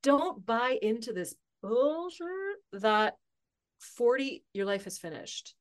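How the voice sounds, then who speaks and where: A woman's voice: on the high side, lively intonation, slow. A woman in her forties, a guest on a podcast, chatting casually and thinking aloud, with frequent laughter. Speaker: podcast guest, author and coach. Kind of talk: casual interview chat.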